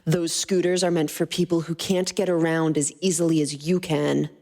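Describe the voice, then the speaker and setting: A woman's voice: low voice, level tone, moderate pace, dark tone. A woman voicing a worried, scolding motherly alien warrior in a comic fan fiction audio drama, with music. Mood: weary scolding.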